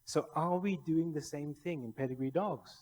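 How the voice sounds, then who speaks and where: A man's voice: on the high side, normal speed, a little mellow. A man from Malaysia giving a persuasive conference talk, putting rhetorical questions to his audience. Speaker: conference speaker, kennel federation official. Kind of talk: conference presentation. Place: large indoor conference hall. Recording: echoey hall with long reverb.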